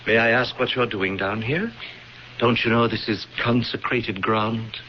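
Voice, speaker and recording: A murderous, mocking high priest, acted by a man speaks in a mid-range voice with theatrical condescension. It is radio drama villainy on a muffled recording with clear noise. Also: steady tone, a little slow, natural voice. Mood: falsely gentle. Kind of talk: 1970s radio drama acting.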